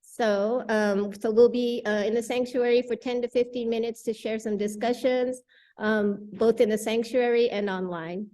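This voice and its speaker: Mellow-voiced woman leading a church gathering. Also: fairly high pitch, steady tone, moderate pace. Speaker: worship associate leading a church discussion. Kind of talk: informal service announcements and moderating.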